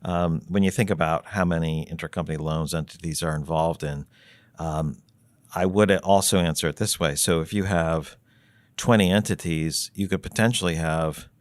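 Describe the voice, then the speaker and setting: Very deep-voiced man explaining steadily. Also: steady tone, no room sound. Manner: measured, thinking aloud with many fillers and restarts. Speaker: treasury consultant guest. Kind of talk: explanatory podcast conversation.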